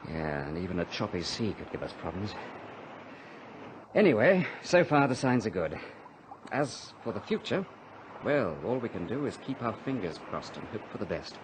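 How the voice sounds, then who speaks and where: An actor playing a level-headed, commanding pilot leading crash survivors in a radio drama, firm and reassuring. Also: male voice, on the low side, very animated, normal speed, slight background noise, rough recording. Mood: sober, explaining.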